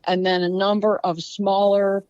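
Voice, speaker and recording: A woman's voice: medium pitch, flat delivery, unhurried, dry recording, muffled recording. An older woman with a Baltimore accent, chatting as a guest author on a podcast. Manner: chatty, warm storyteller. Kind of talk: casual podcast conversation.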